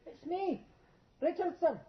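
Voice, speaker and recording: A man's voice: very high voice, big pitch swings, a little slow, heavily acted. An actor playing a timid, over-eager young male anatomy student in a radio drama, stammering and gushing. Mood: nervous, hesitant. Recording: dull, lo-fi radio drama recording.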